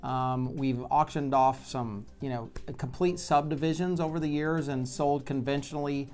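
A man's voice: medium pitch, fairly even intonation, moderate pace, a little mellow. Conversational man explaining, music behind. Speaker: real estate agent hosting a video blog. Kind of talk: explanatory talk to camera. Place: bright office by large windows, indoors. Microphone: lapel mic.

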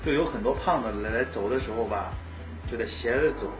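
A young man speaks casually in a sit-down interview, pausing with fillers as he explains himself. He is recorded inside a restaurant, and music plays clearly beneath his voice. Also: mid-range voice. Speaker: restaurant owner.

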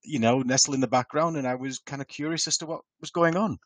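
A man from Wales giving a relaxed, casual introduction in an online meeting. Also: mid-range voice, fast talker. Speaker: meeting participant. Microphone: video call.

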